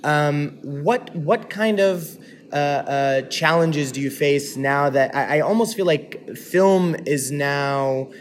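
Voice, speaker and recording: A man speaks as a casual interviewer. He phrases questions haltingly, often doubling back on his words. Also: a little high, slight background noise. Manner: halting, repeats words while forming questions. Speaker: interviewer, host.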